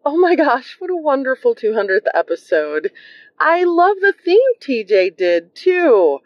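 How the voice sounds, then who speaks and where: A woman's voice: high voice, sing-song, unhurried, no echo. A woman leaving an enthusiastic, casual voice message as a podcast caller. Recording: dry, dull lo-fi voice message.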